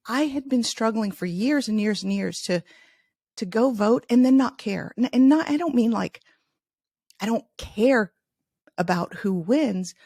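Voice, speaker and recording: Middle-aged woman from Chicago, native Spanish speaker, no accent; gravelly, talkative, dry mic. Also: medium pitch, darkish tone, no echo. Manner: chatty, many restarts, laughs easily. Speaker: podcast host and author, interviewed as guest. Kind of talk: casual podcast interview.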